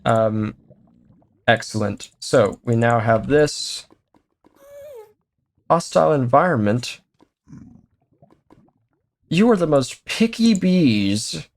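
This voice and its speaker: A man talking his way through a game session in a loose, conversational way, speaking partly to himself and partly to the game. His voice sits in an ordinary middle pitch, and he breaks into quick excited exclamations and wry jokes. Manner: chatty, talks to himself and to the game, jokey asides. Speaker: let's play host. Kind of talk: casual gameplay commentary.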